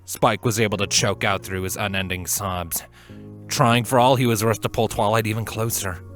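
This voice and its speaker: Low-pitched man narrating a story, earnestly. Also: fairly even intonation, normal speed, fairly bright tone, faint music behind. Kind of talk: expressive scripted fan fiction narration.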